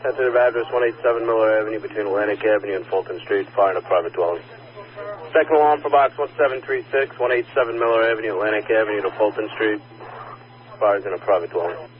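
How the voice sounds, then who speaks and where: A man's voice: on the low side, fast talker. A man working as a fire radio dispatcher, making terse, clipped, procedural calls. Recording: noisy, muffled two-way fire radio.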